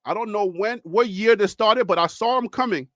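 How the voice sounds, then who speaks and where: A man from Liberia, hosting a live call-in show in a preachy, animated way and reading the chat. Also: sits high, moderate pace, dry recording, clean studio sound. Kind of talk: casual livestream talk.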